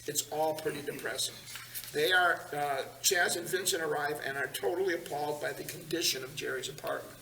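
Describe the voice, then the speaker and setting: A man's voice: on the high side, fairly even intonation, a little fast, gravelly, pushed and bright. A man narrating scripted stage directions at a table read in a large meeting room. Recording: echoey room, distant mic, hiss.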